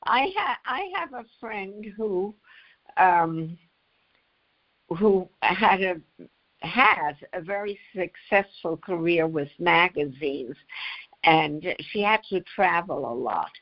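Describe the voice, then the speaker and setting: An older woman speaks very slowly in a conversational interview. Her voice sits a little low, and she often halts mid-phrase before carrying on. The audio is slightly dull and lo-fi. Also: expressive. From United States. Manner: halting, pausing mid-phrase. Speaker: author, interview guest.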